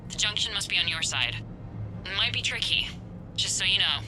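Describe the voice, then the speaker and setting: An actress playing a practical, nervy infiltration partner in a video game, speaking with urgency. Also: female voice, medium pitch, animated, brisk, close to an everyday voice, rough recording. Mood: tense. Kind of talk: cinematic video game dialogue.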